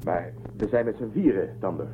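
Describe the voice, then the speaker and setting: A man's voice: lowish voice, expressive, brisk, slight background noise, natural voice. A man playing an earnest starship commander in a science-fiction radio drama, questioning and persuasive in his delivery. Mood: persuasive, puzzled. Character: earnest young male starship commander searching for Earth. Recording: narrow-band muffled audio, faint music.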